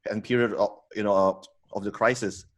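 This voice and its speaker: A man's voice: on the low side, steady tone, brisk. A man in his thirties from Indonesia, a guest telling his story in a casual interview, hesitant with many fillers and restarts. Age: 30s. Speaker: podcast guest, company co-founder.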